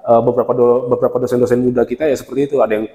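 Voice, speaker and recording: Chatty lecturer in a roomy hall. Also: male voice, medium pitch, level tone, very fast, warm tone. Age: 30s. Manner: chatty, laughs often while explaining. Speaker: university lecturer. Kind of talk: relaxed interview talk.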